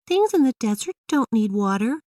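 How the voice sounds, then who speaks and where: A woman's voice: a little high, big pitch swings, moderate pace, darkish tone, no echo, clean studio sound, recorded from a distance, pitched above the performer's own voice. A woman voicing a curious, eager little girl in a children's storybook, with a wide-eyed, inquisitive manner. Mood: questioning.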